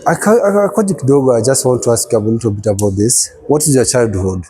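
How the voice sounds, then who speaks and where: Male interviewer, warm low timbre, brief prompts, slight background noise. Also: lively intonation, fast talker. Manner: short prompts and agreeing murmurs. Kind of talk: casual interview questions.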